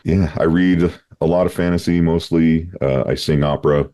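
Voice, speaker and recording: Man with a very deep, dark voice. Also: fairly even intonation, normal speed. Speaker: panelist, author. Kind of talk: casual panel chat.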